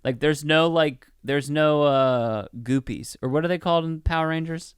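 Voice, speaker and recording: Crisp, dry-sounding man chatting casually. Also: on the high side, moderate pace, no room sound. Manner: profane, slangy. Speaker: podcast co-host. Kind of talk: casual film review chat.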